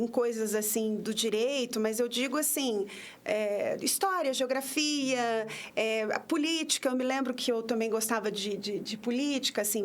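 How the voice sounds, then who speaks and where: A woman's voice: high voice, a little hiss or hum. A woman in her fifties from the interior of São Paulo, a prosecutor guesting on a podcast, telling her story in a hesitant way with many restarts. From interior of São Paulo state, Brazil. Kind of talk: conversational interview.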